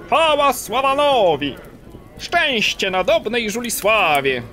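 High-pitched old chieftain. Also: male voice, sing-song, slow, bright, forceful tone, heavily acted. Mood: jubilant, pleading. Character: elderly father of the groom, joyful chieftain. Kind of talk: comic-book radio play acting.

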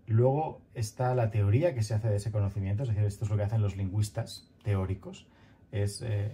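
A man in his thirties giving a recorded grammar lecture over slides, talking in a thoughtful, halting way with many fillers and restarts, from a small room at home on a headset. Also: fairly low pitch, warm tone, muffled recording, slight background noise. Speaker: grammar teacher.

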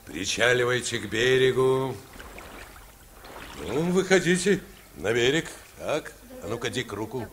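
A man playing a kindly, commanding sea captain in an old children's radio play, with a husky voice. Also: medium pitch, expressive, a little slow, a bit bright, a little hiss or hum. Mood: brisk, commanding. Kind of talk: mid-century Soviet radio drama acting. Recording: old archival radio recording.

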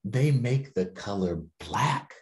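An American man voicing a gentle, reassuring heart spirit from a picture book, slow, soothing and lilting. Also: medium pitch, animated.

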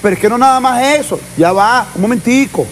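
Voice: mid-range voice, animated, brisk, faint music behind. A Venezuelan reporter on a political show, discussing off the cuff and insistently repeating points for emphasis.

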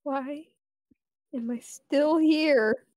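Woman voicing a slow, high-voiced frazzled jester. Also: darkish tone, dry recording, studio quality. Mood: unraveling. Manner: swearing, repetitive, muttering to herself. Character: anxious, foul-mouthed jester woman losing her mind. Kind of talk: improvised comic cartoon fandub.

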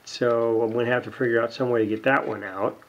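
A man giving unscripted, casual narration of hands-on repair work, halting and muttering to himself as he goes. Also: mid-range voice, steady tone, muffled recording. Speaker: hobbyist radio repairer, video host. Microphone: camera mic near the workbench.

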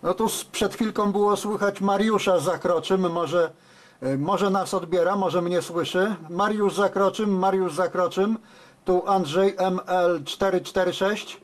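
A man talking as a radio operator in a halting, conversational CB contact. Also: high voice, fairly even intonation. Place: indoors at a desk with radio sets. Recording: very noisy, radio hiss and clicks.

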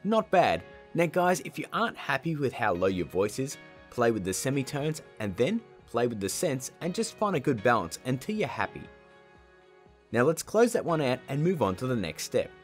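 A man's voice: medium pitch, big pitch swings, moderate pace. A man in his thirties presents a casual tutorial with music behind him. His voice moves up and down a lot. He is chatty and playful, with an informal way of guiding listeners through each step. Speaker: tutorial host. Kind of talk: casual scripted video tutorial.

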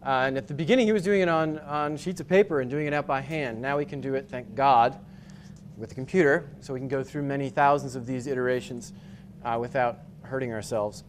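A man from New England giving a conference talk with slides, explaining step by step with frequent pauses and restarts. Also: medium pitch, a little hiss or hum. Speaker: conference speaker. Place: hotel conference room with projector screen.

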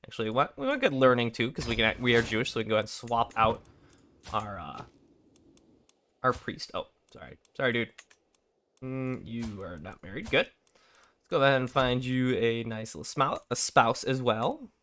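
A young man with a raspy voice from being sick, casually commenting on a strategy game, thinking aloud and clearing his throat often. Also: mid-range voice, animated, moderate pace, fairly bright tone, a little hiss or hum. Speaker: gameplay commentator. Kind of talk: casual let's play commentary.

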